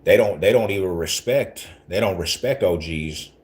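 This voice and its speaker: A man in his forties with a very low voice talks on a casual livestream. He rambles loosely in street slang and circles back to repeat his points, greeting viewers as he goes. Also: moderate pace, a little mellow. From Denver, Colorado. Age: 40s. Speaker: livestream host talking to viewers.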